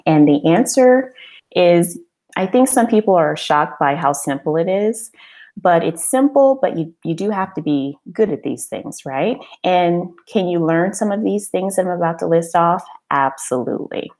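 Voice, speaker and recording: Woman, low voice, webinar host, encouraging, conversational, rhetorical questions. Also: lively intonation, moderate pace, a little mellow. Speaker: webinar host and business coach. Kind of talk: informal webinar presentation.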